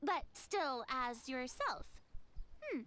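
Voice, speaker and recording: A woman voicing a sweet, polite, curious young woman in a video game, gentle and thoughtful. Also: sits high, sing-song, slow pace, full character voice. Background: game music.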